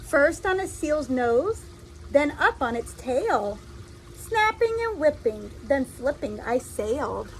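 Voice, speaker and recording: A woman reading a children's story aloud in an expressive, deliberate storytelling style, like a teacher addressing young listeners. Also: high-pitched, a little hiss or hum, rough recording.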